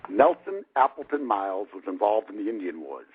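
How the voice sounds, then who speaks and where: A man's voice: lowish voice, big pitch swings, fairly quick, breathy edge. A man in his fifties, an author reading his own book prologue aloud in a wry, conversational manner. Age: 50s. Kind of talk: audiobook narration of a personal prologue. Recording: muffled, lo-fi radio-like sound.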